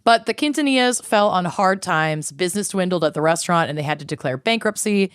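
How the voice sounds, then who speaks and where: Chatty low-voiced woman, smooth and dry. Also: lively intonation, fairly quick, no echo. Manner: chatty, swears casually, uses filler "like". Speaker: podcast co-host. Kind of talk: casual storytelling chat.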